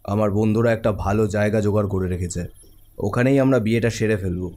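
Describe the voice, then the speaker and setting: A man playing a cocky, impatient young street thug in an animated horror cartoon. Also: fairly low pitch, fast talker, lightly acted. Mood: coaxing. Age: young adult. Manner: swaggering, impatient.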